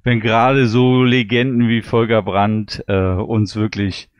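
A man's voice: on the low side, level tone, measured pace, clear, resonant tone, dry recording, dull audio. A man co-hosting a casual panel chat about audio dramas, talking enthusiastically and leaning on emphatic words. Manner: enthusiastic, often repeats "wirklich". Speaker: podcast host.

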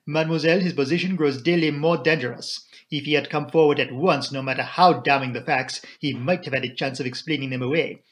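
A man voicing an elderly, courteous detective in an audiobook, with a formal, imploring, deliberate delivery. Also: fairly high pitch, fairly bright tone, natural voice. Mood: urgent pleading. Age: older. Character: elderly Belgian male detective, courteous, earnest, commanding.

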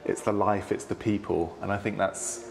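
A man's voice: fairly low pitch, little pitch movement, normal speed, creaky, a little hiss or hum. Encouraging male interviewer. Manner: encouraging, frequent affirming interjections. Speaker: interview host. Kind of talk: conversational interview. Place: hotel lounge, seated on a sofa indoors.

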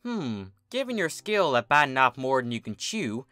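A man's voice: mid-range voice, sing-song, moderate pace, pushed and bright, no room sound, faint music behind. A man voicing a humble, kindly female farm pony. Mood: fond, wry. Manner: folksy, soothing, plain-spoken.

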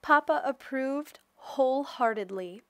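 A woman reading a children's picture book aloud with careful, expressive storytelling delivery. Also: high voice, a little slow, clean tone, no echo. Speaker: storybook reader.

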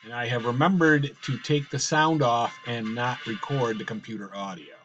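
A man calling a simulated baseball game in casual play-by-play, his voice slightly raspy from a cold, rambling and correcting himself as he goes. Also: mid-range voice, normal speed, lo-fi sound, music in the background. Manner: rambling, with fillers and self-corrections. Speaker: host playing a baseball sim.

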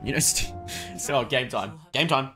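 An excitable video game streamer chatting exclamatorily on a livestream. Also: medium pitch, a little slow, music in the background.

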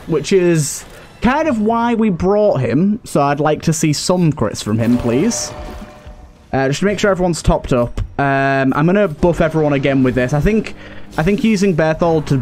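Higher-voiced man doing casual game commentary. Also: a little mellow. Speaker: gaming video host.

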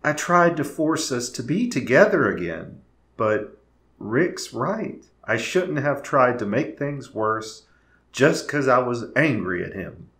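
Casting: man as meek cartoon dad, middle-aged, apologetic, slow and swooping delivery. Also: medium pitch, very animated, fairly bright tone, reverberant, full character voice. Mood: remorseful. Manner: hesitant, sheepish. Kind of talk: fan-made cartoon audio drama.

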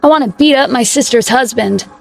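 A woman voicing a stubborn, calm, brooding young man in an audiobook. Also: fairly high pitch, brisk, creaky, faint music behind, low-quality recording. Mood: calm, determined. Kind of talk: audiobook character dialogue.

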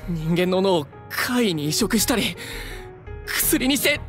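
An earnest young male soldier in an anime game. His delivery is idealistic and emphatic, with big swings in pitch. Music plays clearly behind him. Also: very animated, measured pace. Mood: indignant. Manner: emphatic, questioning, masculine casual speech. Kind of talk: Japanese anime game voice acting.

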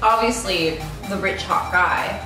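A woman's voice: medium pitch, slow pace. An American woman in her twenties hosting a casual question-and-answer chat while eating. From United States. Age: 20s. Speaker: vlog host. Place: indoor room at a table. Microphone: camera a few feet away. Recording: very echoey room, distant mic, music behind.

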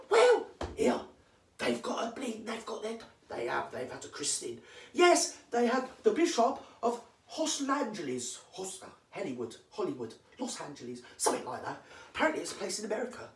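A vlogger with a London accent, rattling off comic celebrity gossip, answering their own questions and dropping into hushed asides. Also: big pitch swings, a little fast, slight background noise. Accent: London English. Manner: drops to hushed asides, jumps to emphatic high yeses. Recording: echoey room, voice far from mic.

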